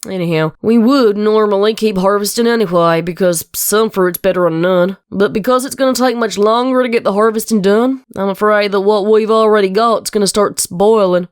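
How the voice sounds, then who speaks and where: A very dry, close recording of a woman playing an earnest country mare in a dramatic story. She has a Southern American accent and a mid-range voice. Her delivery is measured and plain-spoken, like someone carrying the family's worries. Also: medium pitch, fairly even intonation, clean tone, no room sound, natural voice. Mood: serious, practical. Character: earnest, burdened adult farm mare, elder sister. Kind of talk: dramatic fan fiction reading.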